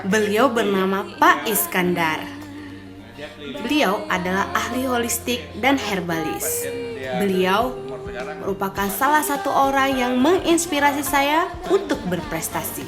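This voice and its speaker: A young woman from Indonesia reading a calm, measured documentary voice-over. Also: fairly high pitch. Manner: calm, measured, plain delivery. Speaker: documentary narrator. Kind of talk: scripted voice-over narration. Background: music.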